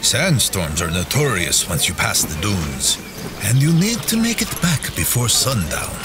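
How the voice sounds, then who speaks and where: A man's voice: fairly low pitch, huge pitch swings, measured pace, noisy background. An actor playing a cautious, protective man of authority in an audio drama, formal and worried. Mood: worried, careful. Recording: clear music behind the voice.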